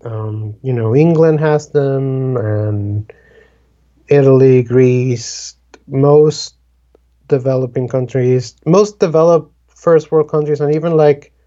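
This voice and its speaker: Slow, mellow-voiced man from the Dominican Republic. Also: medium pitch, highs cut off. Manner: rambling, many fillers like "like" and "um". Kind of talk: casual conversation.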